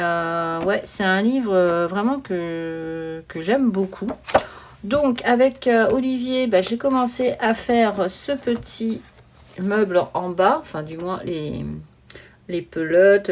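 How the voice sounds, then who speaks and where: A woman's voice: fairly low pitch, takes it slowly, a little mellow. Casual woman vlogger, noisy recording. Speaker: hobby vlogger presenting her coloring projects. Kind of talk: casual chatty vlog. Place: at a desk at home. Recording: noisy home recording with clicks.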